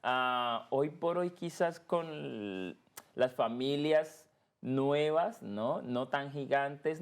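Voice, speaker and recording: A man with a Colombian accent from Cali, hosting a casual podcast interview and slowing down to stress key words. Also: medium pitch. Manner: expressive, slows down to stress words. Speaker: podcast host.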